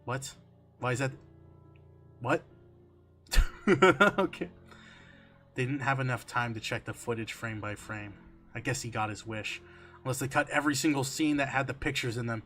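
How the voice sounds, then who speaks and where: A man reading a horror story aloud on a stream, expressive and emphatic, slipping between dramatic narration and casual asides. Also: mid-range voice. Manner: expressive, emphatic, swearing, breaking into amused asides. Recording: music under the voice. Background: background music.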